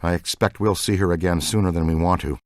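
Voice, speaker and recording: A man's voice: low voice, steady tone, fast, dry recording, clean studio sound, close to an everyday voice. A man voicing an eager, good-natured ghost-hunting scientist in a video game, earnest and technical. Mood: earnest, explaining. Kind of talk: video game dialogue, battle shouts and banter.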